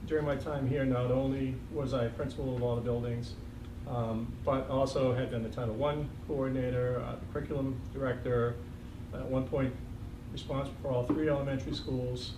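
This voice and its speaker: An older man with a slight Boston accent speaks as a school superintendent in a reflective, easygoing way, dropping light jokes and plenty of ums. He is picked up from a distance in a reverberant meeting room. A steady background noise runs under his voice. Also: medium pitch. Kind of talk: farewell speech at a board meeting. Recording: echoey, distant, noisy room recording.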